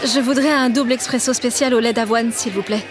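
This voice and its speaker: An actress playing a sweet, grateful young university student in an audio drama, polite and friendly. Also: female voice, a little high, steady tone, a little fast, music in the background, lightly acted. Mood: polite, hesitant.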